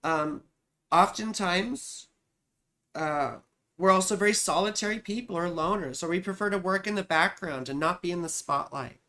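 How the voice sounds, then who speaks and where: Live-stream astrologer host, chatty and direct, echoes her own lines, mid-pitched voice. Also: normal speed, bright, forceful tone. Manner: chatty, repeats her own phrases, addresses viewers directly. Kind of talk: casual live-stream chart reading.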